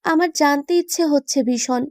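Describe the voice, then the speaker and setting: A woman's voice: sits high, moderate pace, a little mellow, no echo, studio quality, lightly acted. A woman voicing an affectionate, playful young wife in a romantic audio drama, doting and teasing. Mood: curious, earnest.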